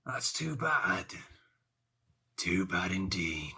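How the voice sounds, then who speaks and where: A man's voice: low voice, sing-song, gravelly, sharp tone, husky, full character voice. An actor playing an aging, boastful pirate captain who tells his tales in a grave, theatrical, rambling way for an audio drama. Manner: theatrical, deliberate, archaic seafaring speech.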